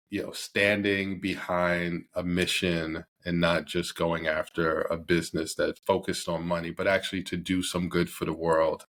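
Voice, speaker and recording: Middle-aged man from Barbados, deep monotone, halting guest with lots of ums. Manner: hesitant, many fillers and restarts. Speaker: podcast guest, company CEO. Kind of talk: casual interview.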